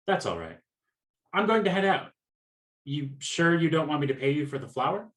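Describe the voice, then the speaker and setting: A man's voice: fairly high pitch, very animated, moderate pace, fairly bright tone. A man acting in a radio play as a polite, hesitant, heartbroken customer. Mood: shyly hopeful. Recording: roomy echo on the voice.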